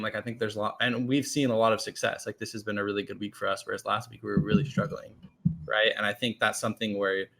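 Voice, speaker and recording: A man guesting as a team shot caller, talking loudly in a rambling, filler-heavy casual way. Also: fairly low pitch, creaky, poor audio. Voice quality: loud voice. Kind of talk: casual panel chat.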